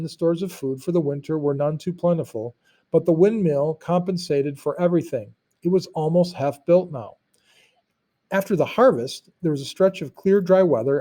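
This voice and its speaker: Mellow-voiced man reading a story aloud. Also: a little high. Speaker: read-aloud narrator. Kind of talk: storybook read-aloud with explanatory asides.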